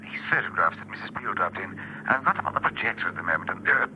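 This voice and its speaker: A man playing a hurried lab technician speaking over the telephone in a classic spy radio drama. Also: deep voice, animated. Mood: hurried, reporting. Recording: dull, noisy, distant telephone sound.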